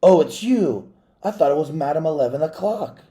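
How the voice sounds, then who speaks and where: A man's voice: fairly high pitch, expressive, normal speed, warm tone, pitched above the performer's own voice, close to an everyday voice. A man voicing a curious, jumpy young girl in a storybook, wide-eyed and anxious. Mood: startled relief.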